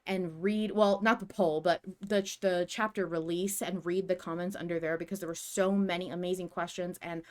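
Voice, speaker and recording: A woman's voice: on the low side, fairly even intonation, normal speed, few pauses, a little mellow. A woman co-hosting a fan podcast in casual chat, with an enthusiastic, giggly manner. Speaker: podcast co-host.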